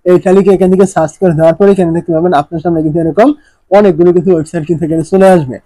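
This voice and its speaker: High-pitched, quick-talking man giving instructions. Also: steady tone, mellow, no room sound, low-quality recording. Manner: repetitive, instructional, poses questions then answers them. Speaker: tech tutorial presenter. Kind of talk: step-by-step phone tutorial narration.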